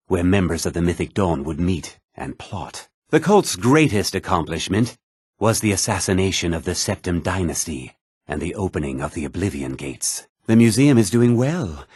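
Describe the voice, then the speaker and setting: A man playing a self-important, proud and scheming townsman in a fantasy video game, speaking in a theatrical, formal and deliberate way with a husky voice. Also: low voice, no room sound, clean studio sound. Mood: confiding, guarded. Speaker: video game character voice actor.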